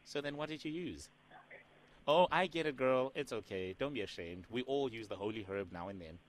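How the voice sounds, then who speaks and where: A man's voice: mid-range voice, expressive, moderate pace, lightly acted, rough recording. A man playing a sassy, overfamiliar prank caller who keeps calling the listener "girl" and "doll". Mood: sassy teasing. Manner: teasing, calls her "girl" and "doll". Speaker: radio host doing a prank call.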